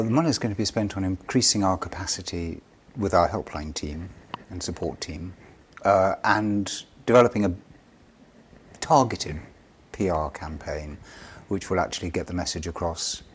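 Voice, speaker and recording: A man speaking for a charity in an interview, explaining things in an earnest way. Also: low-pitched. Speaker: charity representative being interviewed. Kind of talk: interview answers. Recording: clear background noise.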